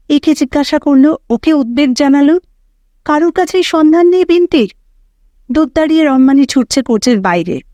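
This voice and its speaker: A woman reads a story aloud in a fairly high voice. Her narration is dramatic and expressive, giving life to what the characters think and say. The sound is dry, with no room around it. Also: no echo. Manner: expressive, voicing characters' thoughts and dialogue. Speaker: audiobook narrator.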